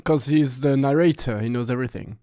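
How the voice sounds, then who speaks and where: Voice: mid-range voice, a little fast, warm tone, dry recording. A person joking casually in a group reading, with exasperated, sweary asides.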